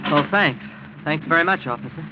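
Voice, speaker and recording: A man's voice: a little high, brisk, lightly acted. An actor playing a spineless, tipsy, boastful rich young man who rattles easily, in 1940s radio drama style. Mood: nervous. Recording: muffled, noisy 1940s radio recording.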